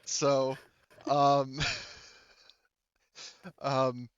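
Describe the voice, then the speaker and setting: A man's voice: mid-range voice, level tone, extremely slow, clear, resonant tone, no room sound, dull audio. A man acting as game master in a casual tabletop game debrief, talking loosely and swearing freely.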